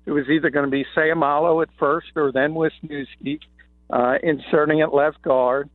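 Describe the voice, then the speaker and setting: Slightly hoarse man on a phone line. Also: fairly high pitch, fairly even intonation, moderate pace, no room sound. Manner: confident, opinionated, many "uh" fillers. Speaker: NFL columnist guest. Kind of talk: sports radio analysis by phone. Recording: tinny dry phone line.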